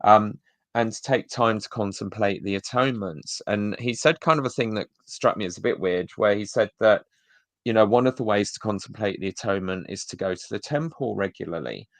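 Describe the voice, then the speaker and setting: A man's voice: fairly low pitch, a little fast, no room sound. A man co-hosting a video-call show, giving blunt, sardonic commentary on church talks. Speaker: co-host reviewing church talks. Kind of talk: casual critical commentary.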